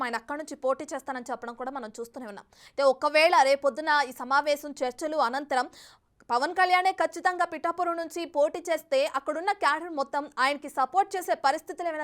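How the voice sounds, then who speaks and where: A woman with a high-pitched voice works as a TV news anchor. She delivers bulletins and live questions in a broadcast news register. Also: a bit bright.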